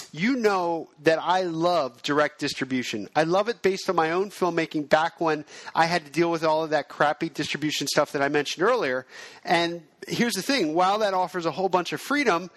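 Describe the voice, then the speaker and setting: A man's voice: fairly high pitch, fairly quick, a bit bright, dry recording. A middle-aged man hosting a podcast, giving frank, conversational advice and dropping his voice for asides. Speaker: podcast host. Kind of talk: casual advice monologue.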